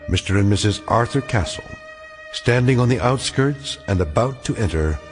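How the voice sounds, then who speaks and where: Man as grave, deep-toned narrator in reverb. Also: animated, moderate pace, lightly acted. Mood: solemn, ominous. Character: grave, solemn narrator of an eerie tale. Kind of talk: scripted radio drama narration. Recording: long reverb, music underneath.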